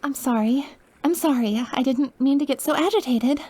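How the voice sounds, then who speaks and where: A woman's voice: sits high, normal speed, warm tone, close to an everyday voice. A woman playing an obsessive, lovesick, dying young witch in an intimate ASMR roleplay, with a clingy, pleading manner. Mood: apologetic, coaxing.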